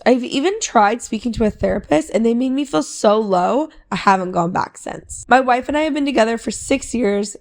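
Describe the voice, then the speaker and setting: A woman's voice: on the high side, creaky. A woman co-hosting a casual podcast, reading a long online post aloud in a playful, easygoing way. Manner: playful, reads posts aloud at a steady clip. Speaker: podcast co-host.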